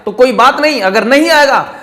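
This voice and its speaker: A man's voice: extremely high pitch, animated, normal speed, sharp tone. A man hosting a channel, giving emphatic spoken commentary to his viewers and repeating his points. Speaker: channel host.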